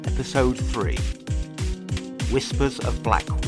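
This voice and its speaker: A man's voice: medium pitch, animated, takes it slowly, over loud music. A man hosting and narrating a scripted true-crime mystery series. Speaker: podcast host and narrator.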